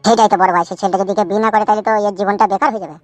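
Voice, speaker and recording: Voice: medium pitch, fairly even intonation, rapid-fire, faint music behind, lightly acted. A performer voicing a greedy, fawning male henchman to a village boss in a cartoon comedy, sly and deferential. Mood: knowing, agreeing. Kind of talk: Bengali cartoon comedy dialogue.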